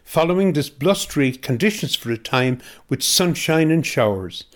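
A man's voice: on the high side, lively intonation, normal speed. A man with an Irish accent reading a scripted weather forecast for community radio.

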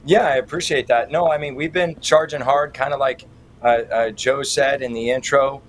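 American man, colloquial activist over clear noise. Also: medium pitch, steady tone, moderate pace. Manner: colloquial, irreverent, folksy turns of phrase. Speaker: guest, political activist. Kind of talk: conversational interview answers. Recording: clearly noisy background.

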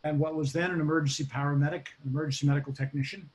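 An older man with a Boston accent speaks in a slightly raised register. He talks in a measured, hesitant way, full of ums and restarts. He comes across as an interview guest telling his story. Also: fairly high pitch, steady tone, poor audio. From Boston, United States. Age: 60s. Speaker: guest, occupational safety expert. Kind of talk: casual interview.

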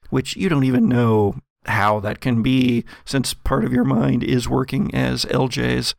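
A game master narrating a tabletop adventure in a dramatic, deliberate storytelling manner. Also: mid-range voice, vocal fry, dry recording, studio quality.

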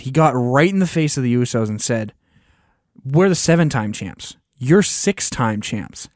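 A man's voice: medium pitch, dry recording. Guy co-hosting a podcast, voice a bit shot, lots of ums, dry close mic. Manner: recaps events with many ums and restarts. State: losing his voice. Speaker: podcast co-host. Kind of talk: casual wrestling chat.

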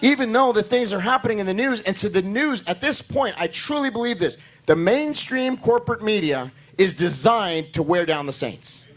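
A male pastor delivers a sermon with a hoarse voice that sits fairly high for a man. He preaches in church, pressing his points with rising, emphatic declarations. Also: lively intonation, normal speed, few pauses, fairly bright tone.

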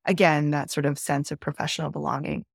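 Brief: middle-aged woman from Peru, low voice with vocal fry, reflective storyteller. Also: level tone, fast, no echo, studio quality. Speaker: podcast guest.

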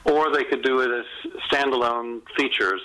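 Bright-voiced mature man, enthusiastic storyteller. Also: mid-range voice, steady tone, low-quality recording. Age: middle-aged or older. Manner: enthusiastic, self-deprecating, halting mid-sentence. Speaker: author being interviewed. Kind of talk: casual conversational interview, anecdotal.

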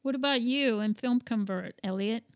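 A woman's voice: on the high side, moderate pace. A woman from Spain hosting an interview, rambling with many fillers and restarts. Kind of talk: conversational interview.

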